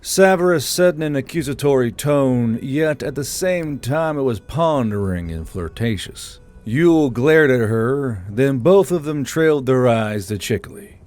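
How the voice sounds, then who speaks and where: A man's voice: medium pitch, lively intonation, measured pace, few pauses, darkish tone. A man reading scripted fantasy fiction as an audiobook narrator. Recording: background music under the voice.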